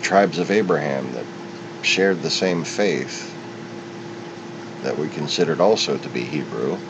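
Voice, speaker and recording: Low-voiced man rambling over loud rumble. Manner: halting, digressive, opinionated. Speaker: solo commentator. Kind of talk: unscripted rambling monologue. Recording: muffled, with loud steady rumble.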